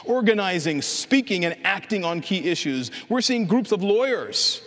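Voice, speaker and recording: Man, pastor, preaching, earnest, impassioned, somewhat high-pitched, long hall echo. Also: animated, moderate pace, a bit bright. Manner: earnest, building to impassioned proclamation. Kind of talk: sermon.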